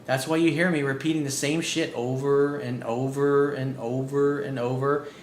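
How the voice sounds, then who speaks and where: A man working as a dating coach, giving blunt, profane advice as he reads out listener emails. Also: normal speed. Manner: blunt and profane, animated, repeats key points. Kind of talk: casual advice monologue reading out emails. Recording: roomy echo with faint low rumble.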